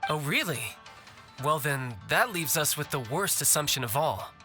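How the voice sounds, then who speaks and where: A man's voice: a little high, lively intonation, moderate pace, close to an everyday voice. A young man voicing a shrewd, cocky fighter in a video game, with a glib, teasing manner. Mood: provocative. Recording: music behind the voice.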